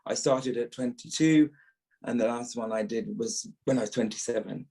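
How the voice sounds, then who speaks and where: A British man in his early fifties talks about his past as an interview guest. His voice is that of a trained actor, sitting at an average male pitch. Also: normal speed, gravelly, warm tone. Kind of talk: reminiscing in a podcast interview.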